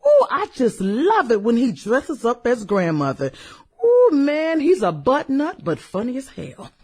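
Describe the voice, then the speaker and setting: A woman playing a brash, flirty, fun-loving businesswoman in a satirical radio drama, with sassy, slangy delivery and drawn-out interjections. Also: on the high side, huge pitch swings, darkish tone, slightly rough, heavily acted. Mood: gleeful, gossipy. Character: brash, flirty, fun-loving high-powered businesswoman in her late thirties.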